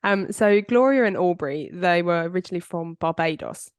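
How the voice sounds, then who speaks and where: Woman host, stuffy and congested, rambling casual delivery, dry close mic. Good for relaxed true-crime chat. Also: on the low side, moderate pace, clear, resonant tone, no echo. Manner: chatty, rambling, with frequent fillers. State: congested, bunged-up voice. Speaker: podcast host.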